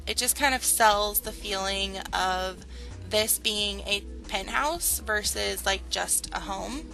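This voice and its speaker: Chatty young woman, slightly croaky. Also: mid-range voice, takes it slowly, over music, low-quality recording. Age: young adult. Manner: chatty, many fillers and "like"s. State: slightly hoarse from a cold. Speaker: Sims builder and channel host. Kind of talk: casual speed-build commentary.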